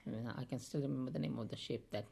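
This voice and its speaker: A man tells his life story haltingly, full of pauses and fillers. His voice is gravelly and dark, with a mellow, low tone throughout. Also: steady tone. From India. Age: 50s. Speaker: oral history interviewee.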